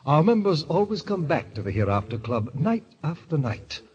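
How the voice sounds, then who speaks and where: An actor playing a suave, sinister middle-aged club president in old radio style, with pompous, florid, genteel phrasing. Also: male voice, a little high, big pitch swings, moderate pace, husky, full character voice. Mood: grandiose, solemn. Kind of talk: 1940s radio acting. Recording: dull, lo-fi vintage radio recording.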